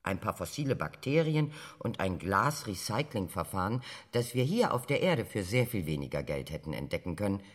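An older man in a radio drama playing a combative, sceptical elder public figure in a televised debate, his voice husky. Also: lowish voice, sing-song, normal speed, few pauses. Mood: argumentative. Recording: long hall reverb, played-back debate recording.

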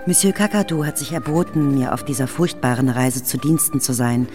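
A woman's voice: extremely low pitch, a little fast. Music plays behind a determined, elegant wife. She speaks her letter to her husband in radio play style, composed as a written message spoken aloud. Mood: breezy resolve.

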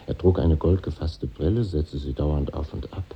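An actor playing a reflective middle-aged conductor revisiting his old school, husky and musing, in a 1950s German radio drama. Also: extremely low pitch, muffled recording, a little hiss or hum. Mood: musing, nostalgic. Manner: musing, pausing, nostalgic interior monologue.

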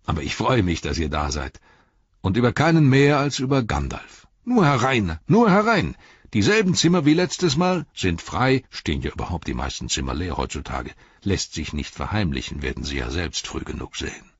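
A man's voice: rumbling low, gravelly, a bit bright, husky, dry recording, highs cut off, voice pushed down, exaggerated voice. A man voicing a hearty, talkative, flustered innkeeper who exclaims, rambles and interrupts himself in an audiobook story.

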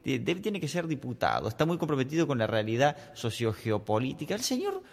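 A man's voice: mid-range voice, wildly swooping pitch, a little fast, creaky, long reverb tail. A man performing a satirical radio sketch as a chattering presenter. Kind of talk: musical radio sketch playing a fast-talking presenter. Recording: voice over loud music.

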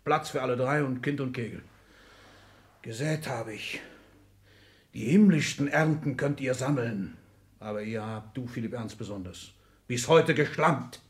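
A man's voice: medium pitch, a little slow, long pauses, close to an everyday voice. A man acting in a 1960s German radio play as an ailing, domineering old industrialist, speaking in clipped, imperious declamation with sudden outbursts. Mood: stern reproach. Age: older. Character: ailing, domineering old industrialist patriarch lecturing his children.

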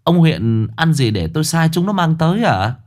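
Male narrator as eager servile shopkeeper, overly polite, lively pitch. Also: moderate pace. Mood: fawning. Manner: fawning, polite.

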